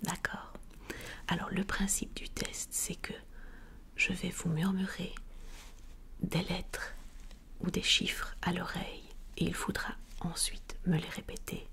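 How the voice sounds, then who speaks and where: A woman whispers in a low register, as in an ASMR doctor's visit. Her delivery is very slow and deliberate, with a creaky edge. She stays soft and formally polite throughout. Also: flat delivery. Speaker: ASMR creator playing a doctor giving an exam. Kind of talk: whispered ASMR medical roleplay.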